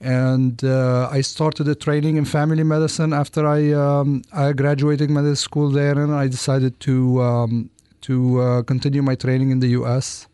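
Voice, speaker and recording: Male doctor from Lebanon, studio interview guest, hesitant phrasing with false starts, mid-range pitch, dry close sound. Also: completely flat delivery, moderate pace, warm tone, clean tone, dry recording. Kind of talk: interview answers. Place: radio studio.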